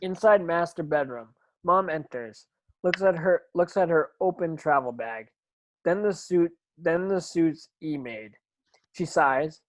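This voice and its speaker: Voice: mid-range voice, dry recording, rough recording. Dry-sounding narrator reading stage directions. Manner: stumbles and repeats words while reading. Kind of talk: scripted narration in an online table read.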